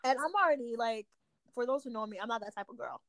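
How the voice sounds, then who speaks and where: A woman's voice: a little high, expressive, quick pace, a loud crowd. A young woman chatting casually as she tells her side of a story, talking in slang and often restarting her sentences.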